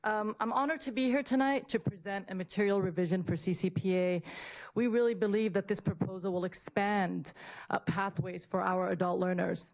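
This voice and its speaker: A woman's voice: medium pitch, steady tone, moderate pace, rough recording. A woman giving a prepared public presentation as a school principal, earnest and repeating phrases for emphasis. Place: public board meeting room, at a podium.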